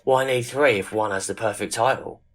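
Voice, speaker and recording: A man's voice: fairly low pitch, moderate pace, natural voice. A man playing a loyal, wry companion who also narrates in an audio drama. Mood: curious, wry. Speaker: voice actor in an amateur audio drama.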